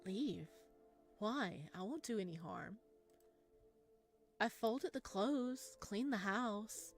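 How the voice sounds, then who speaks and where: Woman voicing a timid, unhurried creature-man, eerily childlike. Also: medium pitch, faint music behind. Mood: gently insistent. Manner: soft, childlike, pleading. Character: timid, eerie, lonely creature-man pleading for approval.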